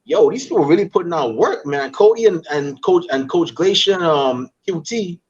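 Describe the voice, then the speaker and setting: A young man from Guyana hosting a casual interview, animated and playful, talking in easy slang. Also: on the high side, moderate pace, muffled recording. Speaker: podcast host.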